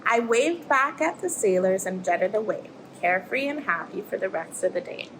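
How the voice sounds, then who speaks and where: A woman voicing a friendly, plucky little octopus in an expressive rhyming picture-book read-aloud. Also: mid-range voice, moderate pace, close to an everyday voice. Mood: relieved, cheerful. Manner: expressive storytelling. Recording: clear background noise, aquarium room ambience.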